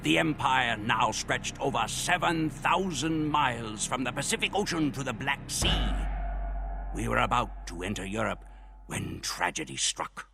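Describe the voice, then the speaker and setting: A man narrating a war campaign in solemn, measured scripted narration for game cutscenes. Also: mid-range voice, animated, creaky. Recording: game cutscene audio with loud music.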